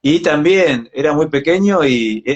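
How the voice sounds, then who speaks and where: A man's voice: a little high, expressive, measured pace, muffled recording. A man in his forties with an Argentine accent, chatting reflectively as a guest in a casual interview and often checking for agreement. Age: 40s. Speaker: yoga practitioner being interviewed. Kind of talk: casual live interview chat.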